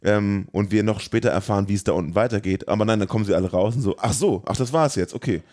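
A man with a fairly low voice chats casually as a podcast host. He rambles a little, breaking off and picking his thread up again as he talks about films. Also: fast talker, a little mellow. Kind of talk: casual chat about a film.

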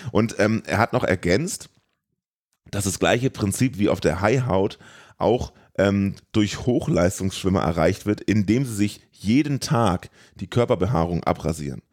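A man's voice: lowish voice, animated, unhurried, darkish tone. A man co-hosting a podcast, chatting casually about films in a colloquial, rambling way. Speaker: podcast co-host.

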